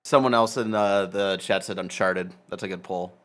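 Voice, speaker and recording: A man's voice: low-pitched, fairly even intonation, normal speed, fairly bright tone. A man co-hosting a casual panel discussion, speaking informally. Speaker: podcast co-host.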